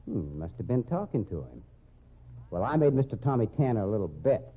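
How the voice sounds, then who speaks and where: Jealous, scheming rodeo cowboy, tinny recording. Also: male voice, lowish voice, very animated, a little fast, breathy edge. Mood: sly, smug. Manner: slangy, folksy cowboy talk. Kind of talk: old-time radio drama acting. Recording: tinny, band-limited old radio recording.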